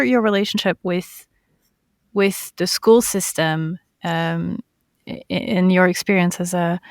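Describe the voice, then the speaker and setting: A woman's voice: lowish voice, no echo, studio quality. A woman who is a non-native English speaker, hosting and interviewing on a podcast in a conversational way. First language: not English.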